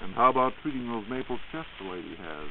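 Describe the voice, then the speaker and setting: A man's voice: medium pitch, expressive, fast, narrow, tinny audio. An American man hosting a scripted 1940s radio program, presenting and asking questions in a formal, courteous way. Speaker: radio program host and announcer. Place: radio studio. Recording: hissy, band-limited 1940s radio recording.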